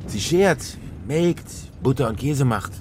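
A man's voice: mid-range voice, expressive, takes it slowly, darkish tone, a little hiss or hum. A man acting a firm but loving truck-driver father in a German children's radio drama, coaxing and admonishing. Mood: reassuring.

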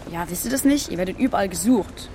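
A woman's voice: medium pitch, expressive, quick pace, background noise, close to an everyday voice. A woman playing a friendly, helpful young boy in a children's radio play, chatty, eager and colloquial. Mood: eager, curious.

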